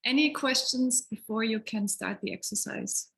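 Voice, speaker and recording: Husky-voiced woman leading a workshop exercise. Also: a little high, normal speed, rough recording. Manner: hesitant, many ums, gentle instructions. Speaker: session facilitator. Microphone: video call.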